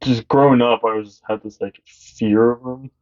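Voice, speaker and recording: A young man with a deep voice co-hosting a podcast in casual chat, rambling and halting with many fillers. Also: fairly even intonation, darkish tone, lo-fi sound. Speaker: podcast co-host.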